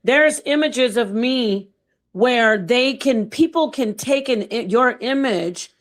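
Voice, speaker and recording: Middle-aged woman, panel host, higher voice; thoughtful, halting delivery with restarts. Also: expressive. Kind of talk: casual panel discussion.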